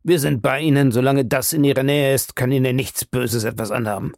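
A man voicing a calm older professor who speaks in a hushed, reassuring way in a read-aloud story. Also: medium pitch, brisk, no room sound, studio quality, voice pushed up, natural voice.

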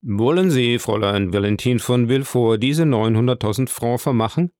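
Male reader as formal, businesslike notary; mid-pitched, dry close sound. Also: moderate pace, a little mellow, no room sound, natural voice. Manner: formal questioning. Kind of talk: audiobook character voice.

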